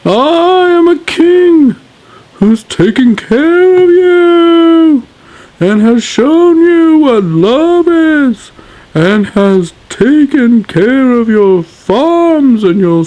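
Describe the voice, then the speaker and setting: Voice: high-pitched, very slow, pitched above the performer's own voice, full character voice, rough recording. A narrator doing a pompous fat king. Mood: grandiose. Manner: calm, grand, drawling speechmaking. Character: pompous, self-assured fat king, man.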